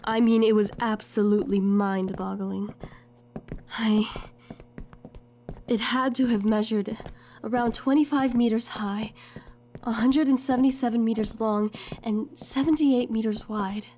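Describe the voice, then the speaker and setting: A woman's voice: on the high side, steady tone, measured pace, mellow. A woman playing a curious young recruit who narrates her own story in an audio drama. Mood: reflective. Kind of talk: first-person scripted narration, audio drama.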